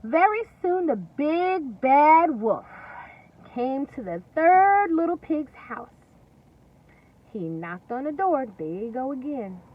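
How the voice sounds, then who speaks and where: A woman teacher reading a story aloud to young children, expressive and deliberate, pausing to explain and ask questions. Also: high voice, no room sound. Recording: distant, dull lo-fi recording with faint noise.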